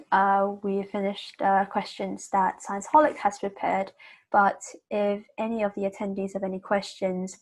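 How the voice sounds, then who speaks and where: A woman's voice: mid-range voice, poor audio. A woman hosting a webinar on a video call, asking interview questions politely and hesitantly with many fillers. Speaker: webinar host.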